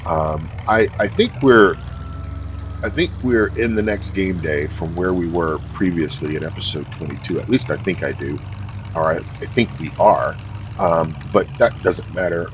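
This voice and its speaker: Deep-voiced man in easygoing game commentary. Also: moderate pace, dull audio, background noise. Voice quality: deep morning voice. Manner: easygoing, rambling with many fillers. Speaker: game host. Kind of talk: casual gameplay commentary.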